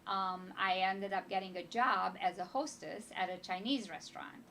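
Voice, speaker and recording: A woman's voice: mid-range voice, normal speed, gravelly, pushed and bright, slight background noise. A woman in her fifties from Romania with a slight Romanian accent, a guest telling her story in a casual interview with lots of fillers and restarts. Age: 50s. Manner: rambling, with frequent fillers and restarts. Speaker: financial advisor guest.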